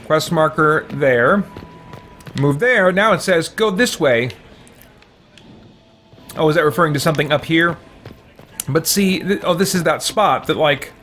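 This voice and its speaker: A man's voice: a little high, unhurried, long pauses, a bit bright, faint music behind. A man giving casual gameplay commentary on a livestream, thinking aloud in a rambling, hesitant way and chatting with viewers.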